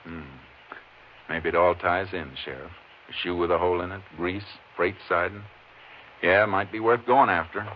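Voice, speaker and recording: Low-voiced Texas Ranger played by a man, vintage radio. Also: fairly even intonation, moderate pace, lightly acted. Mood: focused. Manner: clipped, matter-of-fact, methodical. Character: steady, observant Texas Ranger investigator. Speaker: lead actor in a radio western. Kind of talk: 1950s radio drama acting and first-person narration. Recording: noisy, muffled vintage radio recording.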